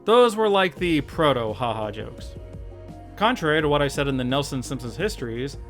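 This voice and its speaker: A man's voice: mid-range voice, fairly bright tone. A man hosting a video essay, narrating in a casual, jokey, emphatic way with mock exasperation. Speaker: video host, YouTuber. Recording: music behind the voice. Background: background music.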